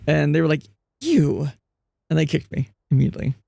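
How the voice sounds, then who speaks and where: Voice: mid-range voice, big pitch swings, fairly quick, creaky, mellow, no room sound, clean studio sound. A streamer chatting casually during a live gaming stream, laughing often, reading chat aloud and restarting sentences. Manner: frequent restarts and fillers.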